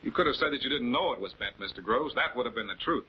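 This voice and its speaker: Methodical prosecutor, accusatory and deliberate, slightly husky, vintage crime drama, dull film soundtrack. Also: male voice, mid-range voice, lively intonation. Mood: firm questioning. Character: composed, methodical male prosecutor confronting suspects. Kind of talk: 1940s television crime drama acting.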